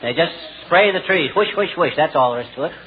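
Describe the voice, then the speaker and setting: An actor playing a blustering, stubborn, tightfisted American husband with folksy exclamations in a 1940s radio comedy. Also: male voice, on the high side, lively intonation, fast, close to an everyday voice. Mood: eager, persuading. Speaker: lead comic actor. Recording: noisy, narrow-band 1940s radio recording.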